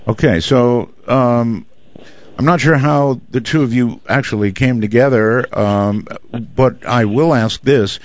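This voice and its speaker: A man's voice: mid-range voice, takes it slowly. A man hosting a radio interview, asking loosely phrased questions. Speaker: radio show host. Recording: dull lo-fi audio.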